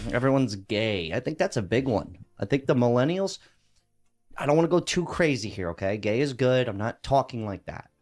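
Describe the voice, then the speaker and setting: A man's voice: medium pitch, big pitch swings, moderate pace, darkish tone, soft background music. A man in his thirties chatting casually as a video commentator, brash and slangy. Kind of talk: casual reaction chat.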